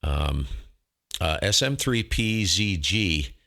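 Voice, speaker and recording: Low-voiced man on ham radio. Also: animated, slow, bright, forceful tone. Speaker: amateur radio operator and host recording his contacts. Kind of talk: casual ham radio chat.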